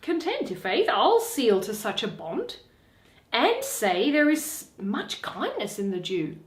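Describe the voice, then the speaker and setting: A haughty, self-assured merchant of Shakespearean drama, voiced by a woman. He declaims firmly and unhurriedly, with lively swings of pitch, in an echoing room. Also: takes it slowly, slight background noise, full character voice. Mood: confident. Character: Antonio, proud, scornful, confident merchant man. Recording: roomy, echoing.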